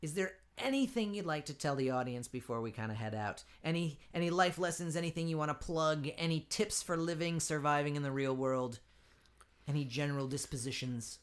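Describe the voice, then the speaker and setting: A man's voice: on the high side, brisk. A man hosting a comedy podcast interview, playful and joking with his guest. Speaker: podcast host.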